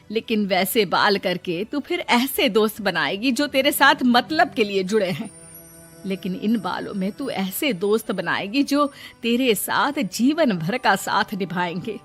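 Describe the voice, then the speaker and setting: A woman voicing a sensible middle-aged mother, advising and gently chiding in a cartoon story. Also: medium pitch, expressive, unhurried, husky, soft background music.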